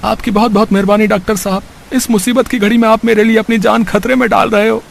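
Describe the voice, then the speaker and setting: A man's voice: high voice, level tone, quick pace, gravelly, a little hiss or hum. A man playing a poor, anxious village father in a cartoon story, earnest and pleading. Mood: grateful, pleading. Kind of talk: Hindi cartoon story voice acting.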